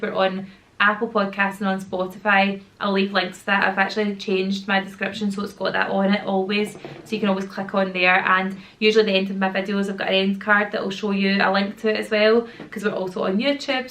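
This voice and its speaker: A woman's voice: medium pitch, fairly bright tone. A woman in her thirties with a Glaswegian Scottish accent, chatting casually to camera at home, rambling and slangy, with a slightly sore throat. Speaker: YouTuber and mum. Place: at home with children nearby.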